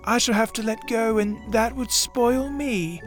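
A performer voicing an earnest, self-important toy bear in a children's readers theatre story, speaking deliberately and solemnly with a gently anxious air. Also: medium pitch, soft background music, close to an everyday voice. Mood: earnest, worried.

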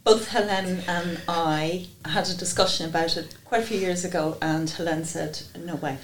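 A woman in her fifties from Jersey explaining plans in a measured way, recorded in an echoey room with faint noise. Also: fairly low pitch, steady tone. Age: 50s. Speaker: guest.

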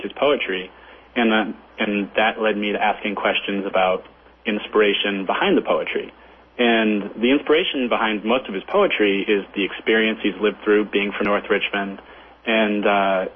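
Low-voiced man on a tinny phone line. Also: a little hiss or hum. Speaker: film director, interview guest. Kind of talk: conversational interview answers. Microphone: phone call.

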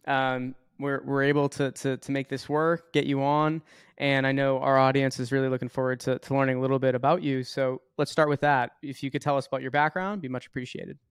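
A man's voice: mid-range voice, flat delivery, a little fast, clean tone, no room sound, studio quality. A man hosting a podcast interview, asking questions in a friendly way and stumbling over his words a little. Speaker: podcast host.

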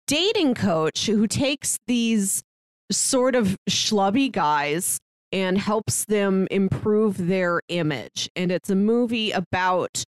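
A woman's voice: mid-range voice, expressive, slow, clean tone, no echo. An American woman co-hosting a movie review podcast in a casual, chatty, lightly humorous way. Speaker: podcast co-host.

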